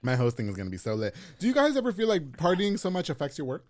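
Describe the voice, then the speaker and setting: A man in his thirties hosting a casual podcast chat, telling stories with laughter. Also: a little high. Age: 30s. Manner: animated, laughing, quick storytelling. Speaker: podcast host.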